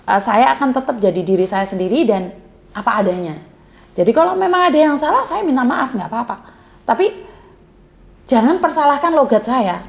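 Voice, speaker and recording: A fairly high-voiced woman talks on a call-in advice show. She is blunt and outspoken, with no softening of her words, and she mixes into her Indonesian as she talks to a caller. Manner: blunt, outspoken, self-described coarse way of speaking. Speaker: host of a call-in advice channel. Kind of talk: live call-in chat. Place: Hong Kong.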